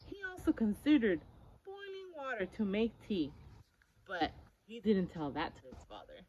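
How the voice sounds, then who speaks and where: A woman reading a picture book aloud to children in an expressive, emphatic storytelling style. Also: mid-range voice, normal speed, low-quality recording. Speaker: story-time reader for children. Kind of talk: animated picture-book read-aloud with questions to listeners.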